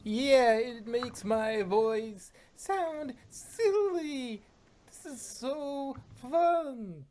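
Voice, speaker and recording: A performer voicing a hyperactive, cheerful young female cartoon pony with a wobbly, excitable delivery. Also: high voice, extremely slow, pitched above the performer's own voice, exaggerated voice. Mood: gleeful.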